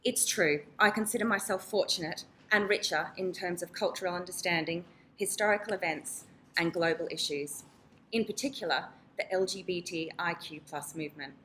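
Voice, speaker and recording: Bright-voiced woman presenting to an audience. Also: on the low side, moderate pace, a little hiss or hum. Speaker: nurse, panel interviewer. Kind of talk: prepared talk to an audience. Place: event room with an audience.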